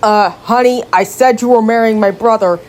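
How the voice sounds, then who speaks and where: A woman voicing a pushy female frog who bosses a kidnapped girl into marrying her brother in an animated storybook. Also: on the high side, moderate pace, slight background noise, close to an everyday voice. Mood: bossy. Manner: bossy, brushing off objections.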